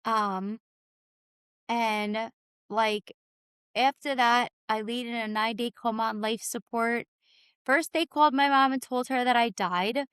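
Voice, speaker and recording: A woman with a raspy voice, telling her own story candidly and informally as a podcast guest. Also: on the high side, fairly even intonation, unhurried, a bit bright, dry recording, clean studio sound. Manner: informal, peppered with "like" and "you know".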